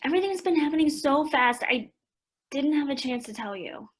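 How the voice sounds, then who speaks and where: A woman acting an earnest, worried teenage daughter in a modern radio play, with a slightly husky voice. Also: high-pitched, animated, moderate pace. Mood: upset.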